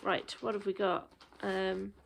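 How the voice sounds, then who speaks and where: Chatty woman, slightly gravelly. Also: mid-range voice, level tone, a little slow, slight background noise. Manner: chatty, rambling with many ums. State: out of breath. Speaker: cross stitch vlogger. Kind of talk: casual show-and-tell.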